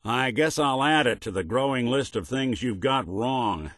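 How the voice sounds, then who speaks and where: An actor playing a world-weary, loyal robot detective in a video game, with wry, folksy 1940s private-eye slang. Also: male voice, mid-range voice, expressive, unhurried, fairly bright tone, dry recording, clean studio sound. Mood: grave, reproachful. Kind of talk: video game companion voice lines.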